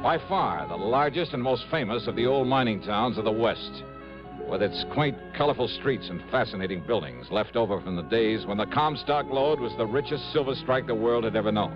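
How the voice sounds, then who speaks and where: An American actor playing a brisk, wry insurance investigator in a 1950s radio detective drama, speaking in a crisp, conversational way. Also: male voice, medium pitch, lively intonation, close to an everyday voice. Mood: businesslike, storytelling. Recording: muffled old radio broadcast, music behind.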